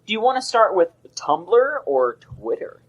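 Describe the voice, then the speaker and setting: A man's voice: high-pitched, very animated, unhurried. A young man co-hosting a casual gaming podcast, rambling and often restarting his sentences. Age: young adult. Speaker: podcast co-host.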